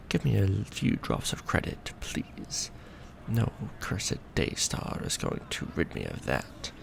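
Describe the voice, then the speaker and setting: A deeply creaky, gravel-edged vampire lord played by a man speaks in formal, archaic phrases with lively rises and falls. He is proud, centuries old and doting, in a romantic ASMR roleplay with faint noise and ambience behind the voice. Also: exaggerated voice. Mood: proud, dignified.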